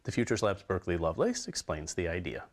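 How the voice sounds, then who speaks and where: Lively, swooping male news anchor. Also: low voice, quick pace.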